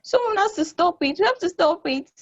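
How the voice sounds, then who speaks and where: A woman's voice: very high voice, lively intonation, fairly quick, dull audio. A woman playing a wry, bossy space probe in a stage play table read. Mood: panicked.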